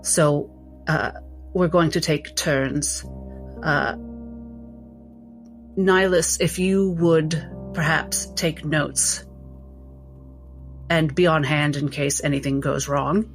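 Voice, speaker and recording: A formal, polite female doctor in teaching mode, played by a woman at a game table. Her voice is low and creaky, and she picks her words very slowly and precisely. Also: fairly even intonation, faint music behind. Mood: formal lecturing. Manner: formal, deliberate, hesitant teaching tone.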